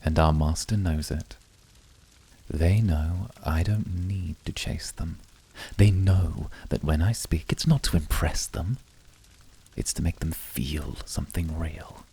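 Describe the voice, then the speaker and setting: A man playing a cool, controlled, seductive demon servant in a scripted ASMR roleplay, speaking in a calm, measured, husky voice. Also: rumbling low, a little hiss or hum, heavily acted. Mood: intimate confidence. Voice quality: husky, breathy. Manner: measured, calm, formal and intimate.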